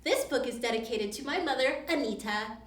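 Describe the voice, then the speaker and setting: A woman reading a children's storybook aloud in an expressive style. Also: fairly high pitch, brisk. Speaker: storybook reader. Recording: echoey room, distant mic, faint noise.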